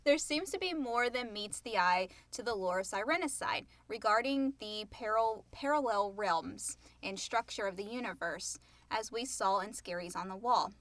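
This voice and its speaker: A woman's voice: mid-range voice, animated, moderate pace, few pauses, fairly bright tone, dry recording. A woman speaking as a podcast co-host in a casual question-and-answer chat.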